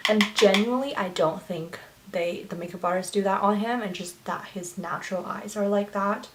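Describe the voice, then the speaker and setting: A woman's voice: mid-range voice, normal speed. A young woman whose first language is Chinese, chatting through a makeup tutorial in a gushing, filler-heavy way. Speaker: beauty vlogger. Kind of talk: get-ready-with-me makeup tutorial chat.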